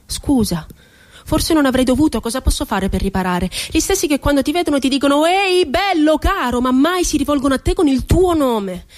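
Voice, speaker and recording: Stage drama: impassioned rebel dreamer woman, higher-pitched voice, declamatory, deliberate emphatic delivery. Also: animated. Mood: mocking. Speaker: stage actress. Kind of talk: theatrical dramatic monologue.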